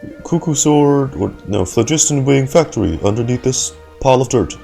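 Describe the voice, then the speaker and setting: A man's voice: mid-range voice, expressive, moderate pace, creaky, warm tone, faint music behind. A man giving casual, blunt, informal reaction commentary as a game streamer.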